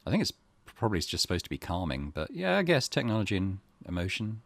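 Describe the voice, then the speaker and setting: A man's voice: deep voice, huge pitch swings, fast, creaky, a bit bright, no room sound. A man giving casual let's play commentary as a gaming host, musing tentatively in a conversational way.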